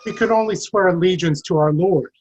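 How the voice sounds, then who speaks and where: Man acting, medieval soldier, loyal, earnest, cautioning, formal, husky voice, table read of a stage play. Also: high voice, fairly quick, darkish tone, dull audio. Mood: earnest faith.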